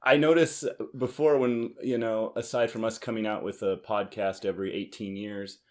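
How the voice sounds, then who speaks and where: A man with a fairly low voice hosts a casual board game podcast. He talks conversationally, like someone among friends, with dry teasing and joking in his delivery. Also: steady tone, normal speed, a little mellow. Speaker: podcast host. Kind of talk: casual chat about board games.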